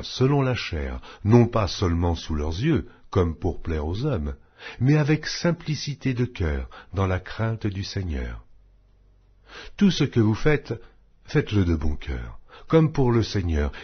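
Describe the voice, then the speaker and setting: The audio is a little dull and lo-fi. A man reads scripture aloud in a husky voice, with solemn, declamatory delivery. His phrasing is measured, yet his intonation moves widely up and down. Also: lowish voice. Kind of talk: scripted Bible reading.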